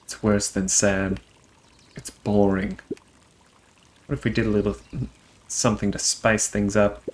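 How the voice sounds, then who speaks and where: A man's voice: fairly low pitch, level tone, a little slow, a little hiss or hum, natural voice. A man voicing a haughty, bored schemer in a storybook game, with a theatrical manner. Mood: scornful.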